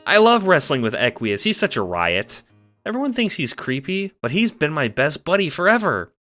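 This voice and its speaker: A man playing an excitable, affectionate young cat-like alien troll girl, rambling playfully as narrator of a fan audio drama. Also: on the high side, moderate pace. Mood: cheerful reminiscing. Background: music.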